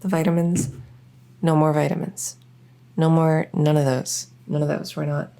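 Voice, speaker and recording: A woman's voice: sits low, little pitch movement, moderate pace, gravelly, mellow. A woman playing a shy, socially awkward vampire in an ASMR roleplay, speaking hesitantly with anxious stammers. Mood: anxious, pleading.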